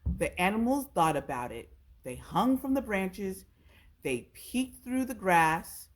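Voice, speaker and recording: A woman's voice: mid-range voice, very animated, takes it slowly. A woman reading a children's storybook aloud as a storytime narrator. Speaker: storytime reader.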